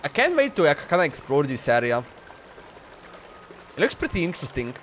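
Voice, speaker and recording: A man's voice: fairly high pitch, big pitch swings, a little fast, a bit bright, slight background noise. A man doing casual, slangy live commentary as he streams a video game. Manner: slangy, informal. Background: game music.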